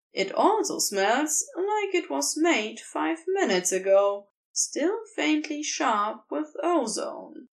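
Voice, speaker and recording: A woman reading a story aloud as scripted narration, in a measured and expressive storytelling manner. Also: high-pitched, gravelly. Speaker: podfic reader.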